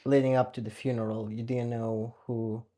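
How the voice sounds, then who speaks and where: Romanian guy podcast host, soft dark mellow voice, chatty interview questions, accent. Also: mid-range voice, level tone, normal speed. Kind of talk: conversational interviewing.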